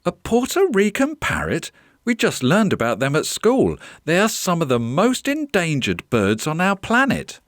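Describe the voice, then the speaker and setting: A man voicing an eager young schoolboy in a children's story, excited and pleading. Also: a little high, normal speed, few pauses, no echo, clean studio sound, pitched above the performer's own voice. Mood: amazed.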